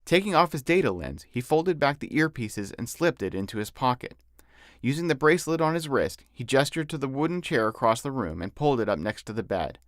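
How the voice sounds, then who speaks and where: Animated male audiobook narrator. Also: mid-range voice, a little fast, no echo, clean studio sound. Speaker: author reading his novel.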